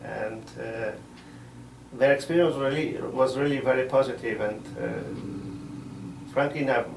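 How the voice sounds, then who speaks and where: Gravelly-voiced priest, distant echoey mic. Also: male voice, medium pitch, background noise. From Poland. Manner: measured, pausing often to find words. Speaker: missionary priest. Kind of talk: interview answers. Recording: roomy sound from a distant mic.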